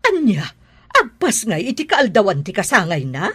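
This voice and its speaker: A woman's voice: mid-range voice, huge pitch swings, normal speed, husky, no room sound, studio quality. An actress playing a cheerful mother looking forward to her first grandchild, who laughs often, in a radio drama. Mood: flustered.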